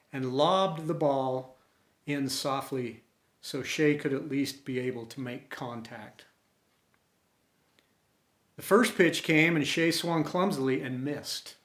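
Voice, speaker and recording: A man reading a story aloud as host, with expressive, dramatic delivery. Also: fairly high pitch. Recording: roomy, echoey home recording.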